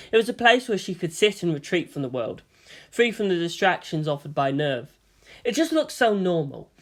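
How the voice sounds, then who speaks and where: A woman reading a story aloud as scripted narration. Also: lowish voice. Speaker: fan fiction reader and narrator.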